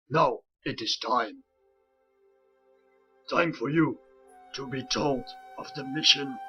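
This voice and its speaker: A man voicing a wise old rat sensei and father, telling a story in a measured, grave, formal manner for a fan voice comic. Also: mid-range voice, lightly acted, low-quality recording. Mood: solemn, fatherly. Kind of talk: dramatic comic-book storytelling. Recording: music under the voice. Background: clear background music.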